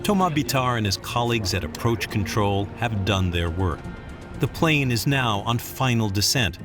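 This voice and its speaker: Lively male documentary narrator. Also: fairly low pitch, moderate pace. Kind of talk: scripted narration. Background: music.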